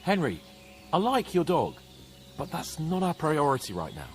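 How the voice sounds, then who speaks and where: A cocky young knight. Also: male voice, on the high side, huge pitch swings, soft background music. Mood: brisk, lordly. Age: young adult. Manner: jesting, lordly and quick-witted. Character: cocky young male knight, joking and boastful. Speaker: young nobleman. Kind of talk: video game cutscene acting.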